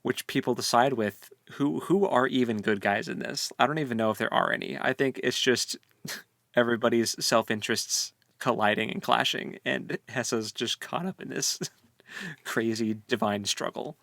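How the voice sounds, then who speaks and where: A man's voice: medium pitch, moderate pace, vocal fry, a bit bright, no echo, studio quality. A man co-hosting a casual book discussion, speaking informally with jokey, slangy phrasing. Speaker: podcast co-host.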